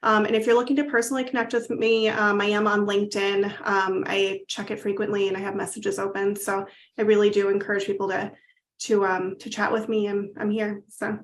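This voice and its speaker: A woman in her thirties speaks as a guest in a casual interview, her voice at an ordinary middle pitch. She talks earnestly and openly, with frequent "um" fillers as she gathers her thoughts. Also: level tone, a little fast, a little hiss or hum. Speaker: guest, company founder.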